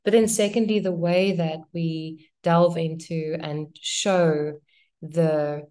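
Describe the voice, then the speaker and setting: A woman's voice: low-pitched, steady tone, slow, dark tone. A woman from an Afrikaans-speaking background with a South African accent, a guest actress in a casual podcast interview who talks thoughtfully and often circles back to rephrase.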